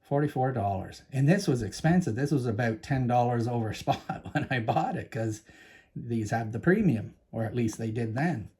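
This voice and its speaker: A man's voice: mid-range voice, animated, normal speed, darkish tone, slightly rough. A man with a Canadian accent hosting a casual coin-collecting vlog, chatty and enthusiastic, talking straight to his viewers. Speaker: coin collecting channel host. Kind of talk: casual show-and-tell vlog.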